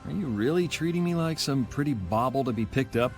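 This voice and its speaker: A man's voice: medium pitch, animated, brisk, a little mellow, faint music behind, lightly acted. An actor playing a cool, wry young swordsman in a video game.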